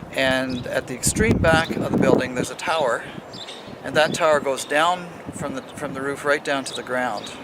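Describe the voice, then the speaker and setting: A man giving an informal, methodical explanatory tour as a member of an amateur radio club. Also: medium pitch. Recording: noisy ambient amateur video sound.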